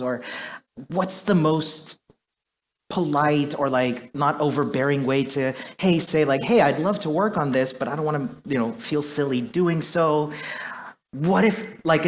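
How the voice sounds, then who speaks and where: Man from Chile on a conference stage, slightly high voice, chatty and self-deprecating, lots of "like" and "um". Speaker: conference speaker. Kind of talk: conference talk.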